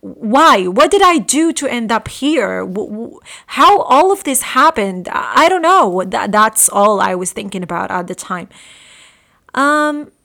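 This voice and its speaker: A woman from Morocco in her late twenties hosting a podcast, telling a personal story in an earnest, halting way with frequent restarts. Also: on the high side, big pitch swings, gravelly, pushed and bright. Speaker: podcast host. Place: room at home.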